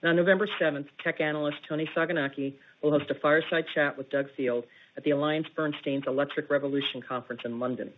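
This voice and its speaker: A woman's voice: deep voice, fairly even intonation, fast. A woman in investor relations reading formal prepared remarks on a conference call. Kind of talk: formal scripted corporate reading. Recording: dull, faintly noisy conference call playback.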